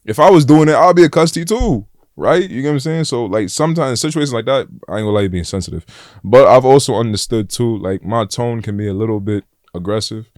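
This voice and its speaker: A young man with a New York accent and a deep voice, talking bluntly and casually as a podcast guest, full of slang and "like" and "bro". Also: fast talker, a little mellow, no room sound, studio quality. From Flatbush, Brooklyn, New York. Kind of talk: casual conversation.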